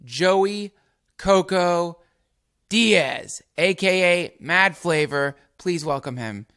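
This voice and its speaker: A man's voice: high voice, animated, very slow, a bit bright, clean tone, dry recording, studio quality. A man hosting a casual podcast from a small home setup, rambling and swearing as he introduces and questions his guest. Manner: rambling, profane, playful intros. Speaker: podcast host. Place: home studio.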